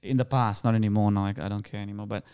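A man with a Sri Lankan accent talks as a guest on a relaxed interview show. His voice is smooth and clear, and he tells his stories in a lively way, breaking into laughter often. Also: on the low side, very fast. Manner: animated storytelling, laughs often. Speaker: guest actor. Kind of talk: casual interview chat.

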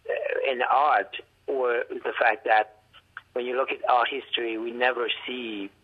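A man with a heavy Haitian accent tells his story as an artist, stopping and restarting his sentences and acting out the dialogue. His voice sits at an ordinary pitch. It comes through a narrow, tinny telephone line. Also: little pitch movement. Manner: reflective, halting with restarts, acts out quoted dialogue. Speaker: artist and art professor. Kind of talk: storytelling interview over the phone.

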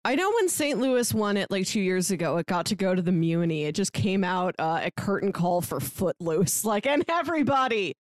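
A woman in casual, jokey podcast conversation about books, with an animated delivery that slips into acting out little quotes. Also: medium pitch, normal speed, hardly any pauses, dry recording, clean studio sound. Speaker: podcast co-host. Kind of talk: casual book-discussion chat.

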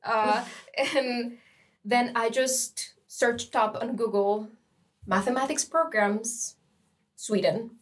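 A woman's voice: a little high, slow pace. A young woman from Rica, a student guest telling her story in an enthusiastic, chatty way. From Costa Rica. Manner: enthusiastic, laughs often, some fillers. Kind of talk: casual interview chat.

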